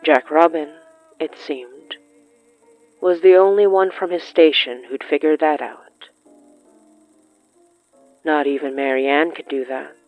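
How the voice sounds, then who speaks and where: A narrator telling a scripted literary horror story in a measured way, with dramatic pauses between short phrases. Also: medium pitch, fairly even intonation, no room sound, highs cut off, faint music behind. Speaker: narrator of a horror fiction podcast.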